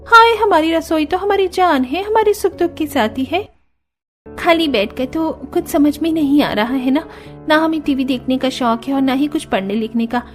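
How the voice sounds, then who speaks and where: A woman's voice: high-pitched, pitched above the performer's own voice. A woman voicing young daughters-in-law in a cartoon story, complaining and emphatic. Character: young women daughters-in-law, sharp-tongued and fussy.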